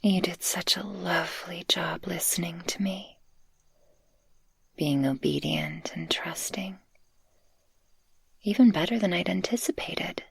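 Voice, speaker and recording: A woman acts out a tender, possessive succubus guardian for an ASMR roleplay. Her voice is lowish and husky, with slow, intimate phrasing that often sinks toward a whisper. The sound is close and dry. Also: no room sound, exaggerated voice. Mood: tender, soothing. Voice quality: breathy, husky. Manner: slow, soft, affectionate, intimate. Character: tender, possessive female succubus guardian, playful and shy in love.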